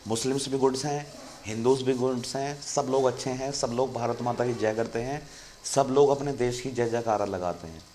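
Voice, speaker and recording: Male channel host, casual explainer, mid-pitched, conversational, light background noise. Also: normal speed, dark tone. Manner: conversational, keeps saying "friend" to viewers.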